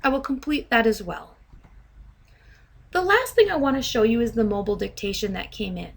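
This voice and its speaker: A woman software trainer narrating a step-by-step demo in a measured, instructional way. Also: a little high, lively intonation, vocal fry, slightly rough.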